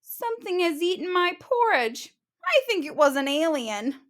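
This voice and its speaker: A woman's voice: very high voice, very animated, moderate pace, pitched above the performer's own voice. A woman doing a squealing little bear cub. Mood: excited. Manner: squealing, wide-eyed. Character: small excitable bear cub boy.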